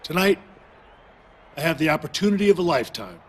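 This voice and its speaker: A man's voice: on the high side, big pitch swings, a little fast, a little hiss or hum. A man playing a battle-worn, determined veteran hero wrestler in a video game, delivering an earnest, emphatic promo.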